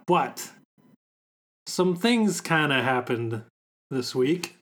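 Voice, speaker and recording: A young man co-hosting a podcast in casual chat, slightly hoarse, laid-back and joking. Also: medium pitch, lively intonation, extremely slow, a bit bright. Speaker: podcast co-host.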